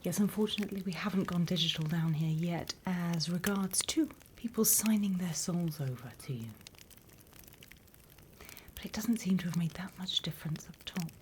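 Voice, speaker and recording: A British woman playing a sly demon instructor in a whispered ASMR roleplay, unhurried, wry and smugly scornful of humans. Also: fairly low pitch, a little hiss or hum, natural voice. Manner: unhurried, hushed, teacherly, wry.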